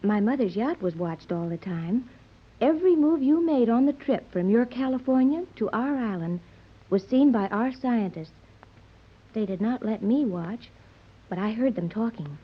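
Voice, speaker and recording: Naive young island woman, formal and literal, high swooping voice, vintage radio drama, muffled recording. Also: expressive, moderate pace, slightly rough. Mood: grave, instructive. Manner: precise, formal, stilted diction. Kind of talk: 1930s radio serial acting.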